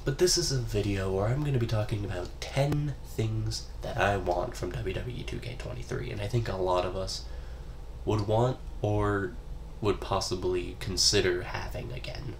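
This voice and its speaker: A man's voice: fairly low pitch, moderate pace, noisy background. Congested young man rambling about games. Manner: rambling, offhand, swears casually. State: congested from a cold. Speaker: gaming YouTuber. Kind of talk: casual solo gaming commentary.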